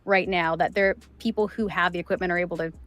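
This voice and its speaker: A middle-aged woman voice actor chatting casually as an interview guest, animated in her storytelling and acting out quoted remarks. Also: lowish voice, quick pace. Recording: music playing behind the voice. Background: background music.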